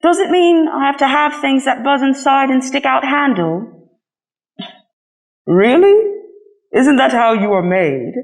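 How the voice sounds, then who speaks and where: A small, young toy rabbit from a storybook, acted out by a woman reader. The voice is lifted high, timid and curious. It sounds wondering, always asking. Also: lively intonation, a little slow, long pauses. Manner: wondering, questioning. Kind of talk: storybook character voice.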